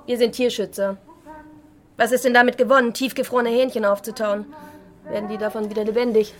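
A woman's voice: a little high, fairly even intonation, brisk, natural voice. Surly, defiant activist waitress played by a woman. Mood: indignant. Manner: curt, combative, sarcastic. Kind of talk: naturalistic German radio drama acting.

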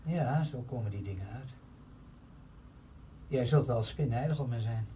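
A man's voice: mid-range voice, measured pace, warm tone, a little hiss or hum, lightly acted, rough recording. A man acting in a radio play as a cynical, self-justifying friend, glib and emphatic.

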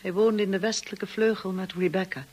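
A woman playing a blunt, upper-class sister-in-law in a radio drama, candid, brisk and probing. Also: medium pitch, steady tone, darkish tone, close to an everyday voice. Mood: disapproving.

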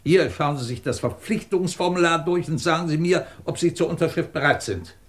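A man's voice: fairly high pitch, a little fast, close to an everyday voice. A man acting a middle-aged, imperious and sardonic British professor who runs a research department, in a commanding 1950s German radio drama style. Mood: brisk, superior. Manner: commanding, clipped, probing, sardonic.

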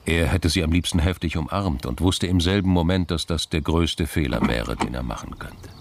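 A man narrating a crime radio drama in a gravelly, very low voice, as an unhurried storyteller. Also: background noise. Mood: measured.

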